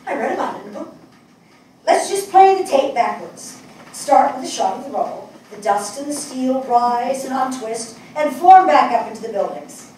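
An older woman acting a grieving, resolute New Yorker in a dramatic stage reading, speaking in emphatic, deliberate short phrases. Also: high-pitched, animated, darkish tone. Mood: insistent. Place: indoor theatre stage. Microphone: distant camera. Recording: echoey stage, distant mic, faint noise.

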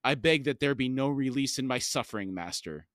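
A man's voice: mid-range voice, lively intonation, fairly quick, a bit bright, no echo, studio quality, natural voice. A man voicing a humble, suffering servant in a fantasy reading, speaking in a subdued, deferential mumble. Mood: resigned. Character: humble, suffering male mortal servant of a god.

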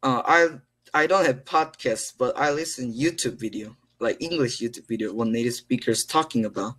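A teenage boy who speaks English with a Korean accent, talking haltingly with many fillers while practicing on a video call from his room. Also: medium pitch, fairly bright tone. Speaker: English learner. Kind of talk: casual conversation practice. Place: indoors, small room at home.

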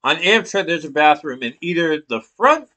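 Bright-voiced man giving a persuasive speech. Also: a little high, lively intonation, moderate pace. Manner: rhetorical questions, emphatic hand gestures. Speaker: student giving a class speech. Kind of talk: persuasive speech, partly read from notes. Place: small room indoors. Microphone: laptop webcam from below.

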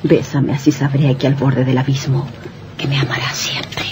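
An intense, anguished jealous wife. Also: female voice, very deep voice, flat delivery, normal speed. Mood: brooding, intense. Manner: intense, melodramatic. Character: anguished jealous wife, brooding, unstable, clinging and pleading. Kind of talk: radio horror drama acting. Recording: noisy old radio drama recording.

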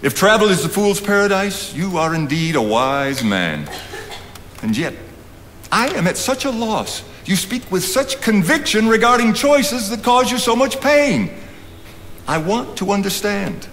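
A genial older friend, teasing and practical, with a high, lively voice that swoops a lot as he chuckles and jabs. It is heard from a theatre stage with long hall reverb and a faint ambient hiss. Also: measured pace, heavily acted. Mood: puzzled. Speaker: stage actor. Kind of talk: stage play acting.

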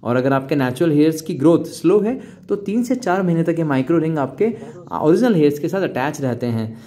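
Higher-pitched Indian man presenting a demonstration. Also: expressive, a little fast, dark tone. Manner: explanatory, mixes in English words. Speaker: hair clinic presenter. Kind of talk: promotional demonstration narration.

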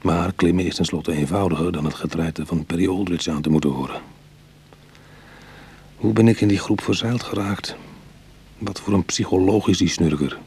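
A wry ex burglar in a radio play, lowish husky voice, proud and touchy. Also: male voice, normal speed, pauses often, a little mellow, full character voice. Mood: brooding, wry. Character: retired cat burglar, proud, wry, easily goaded man. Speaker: actor and narrator in a radio play. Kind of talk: Dutch radio drama acting.

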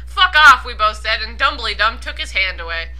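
Young female co-host, very bright and forceful, high-pitched, incredulous, giggly, reads aloud with mocking drama. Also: expressive, moderate pace. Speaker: co-host of a comedic fan fiction commentary. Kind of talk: casual chat mixed with mocking dramatic reading.

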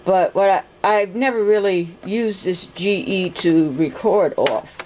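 A woman casually narrating a home demonstration of audio gear, haltingly searching for her words. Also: medium pitch, slight background noise. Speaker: vlogger and audio gear hobbyist. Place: indoors, room with tape decks. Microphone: handheld camera, speaker off screen. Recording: home camera audio with loud music.

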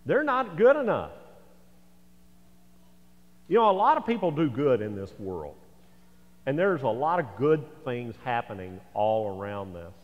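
An older American man in his sixties preaching a sermon in a folksy, conversational way, with asides to the congregation. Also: fairly high pitch. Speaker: pastor. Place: large church sanctuary, indoors. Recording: echoey church hall, slightly dull sound.

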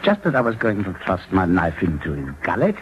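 An actor playing a boastful young rascal of a son, spinning tall tales in grandiose 1940s radio style. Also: male voice, low-pitched, huge pitch swings, fairly quick. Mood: grandiose, excited. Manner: declamatory, storytelling, grandiose. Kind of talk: 1940s radio drama acting. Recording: muffled 1940s radio broadcast, faint noise.

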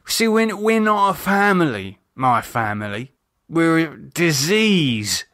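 A man voicing a bitter, sardonic, self-loathing drunk in an audiobook, halting and sneering as he talks. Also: on the high side, very animated, fairly bright tone, no room sound, clean studio sound, voice pushed up, exaggerated voice. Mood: bitter, despairing. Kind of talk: audiobook character dialogue.

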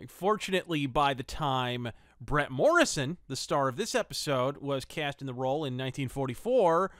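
A male podcast host giving wordy, wry review commentary. His voice sits fairly high and moves around theatrically, in a dry close recording. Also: a bit bright, no room sound. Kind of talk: semi-scripted review commentary.

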